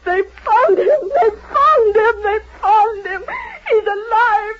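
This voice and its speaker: A woman's voice: extremely high pitch, slow. An actress playing a mother in a 1940s radio drama, sobbing and crying out with joy that her lost son is alive. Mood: tearful joy. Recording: muffled vintage 1940s radio recording.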